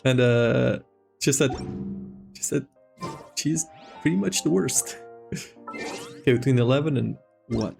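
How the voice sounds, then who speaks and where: A male game streamer with a medium-pitched voice chats casually over a playthrough. He rambles in a blunt, amused way, with faint music behind him. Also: a little slow, creaky, pauses often, a little mellow.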